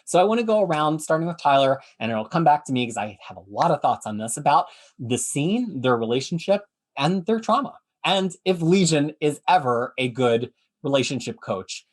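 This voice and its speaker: A man hosting a podcast, measured as he lays out the question and hands it to the panel. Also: on the high side, sing-song. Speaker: podcast host. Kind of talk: moderating a discussion.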